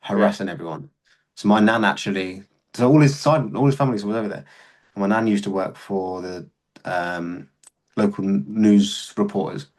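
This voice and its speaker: A man's voice: on the low side, normal speed, darkish tone. A young man, a podcast guest and serving soldier, telling candid stories in a casual chat and swearing freely.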